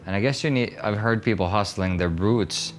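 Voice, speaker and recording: A man's voice: on the low side, moderate pace, a little hiss or hum. A man from Finland interviewing a guest, asking friendly, prepared questions.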